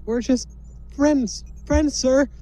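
Woman playing a high-pitched, tearful frightened youth. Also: fairly even intonation, dark tone, dull audio, slight background noise. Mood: pleading, frightened. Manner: halting, stammering, submissive. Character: frightened, pleading youth under interrogation, meekly obedient. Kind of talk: audio drama acting.

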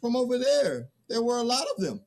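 Man playing a high-pitched, timid young man. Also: very animated, fairly quick, creaky, darkish tone, full character voice. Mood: naive. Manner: hesitant, bewildered. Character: naive, timid, scrawny young man, a clueless pupil. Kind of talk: theatrical play reading.

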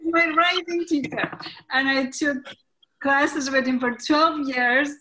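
A woman's voice: high voice, steady tone, slow pace, sharp tone, slight background noise, rough recording. A woman playwright making casual remarks in a group discussion over a video call. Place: indoors, online video call. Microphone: video call microphone.

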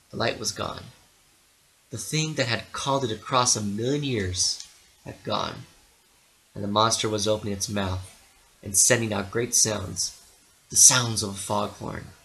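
A man narrating a literary story dramatically, with theatrical, deliberate phrasing. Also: lowish voice, creaky, a bit bright, low-quality recording.

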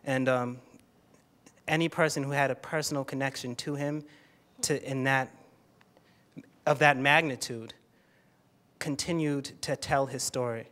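An American man in his twenties giving a thoughtful, halting answer into a handheld stage microphone. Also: mid-range voice, fairly even intonation, a bit bright. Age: 20s. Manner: hesitant, reflective. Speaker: actor answering on a panel. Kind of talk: thoughtful spoken answer to an audience. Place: auditorium stage.

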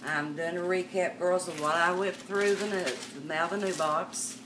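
Older woman host, low voice, chatty live-sale patter, webcam a bit far off with room noise. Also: fairly even intonation, unhurried. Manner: chatty, calls viewers darling and miss. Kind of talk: live sales stream, chatting to viewers. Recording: distant mic with background noise.

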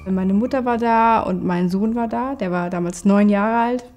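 A young adult woman telling her cancer experience in an earnest, halting way. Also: medium pitch, darkish tone, muffled recording. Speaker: cancer patient. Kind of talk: personal testimony.

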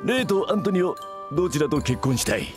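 An actor playing a boisterous middle-aged father and company head in a game, gruff and rough-spoken. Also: on the high side, very animated, brisk. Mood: teasing. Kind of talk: Japanese game dub acting. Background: game music.

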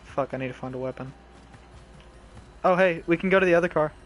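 A young man chatting casually and swearing while he plays a horror game with teammates, giving quick directions. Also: fairly high pitch, soft background music. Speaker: streamer playing a horror survival game. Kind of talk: casual gameplay chat with teammates. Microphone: console headset mic.

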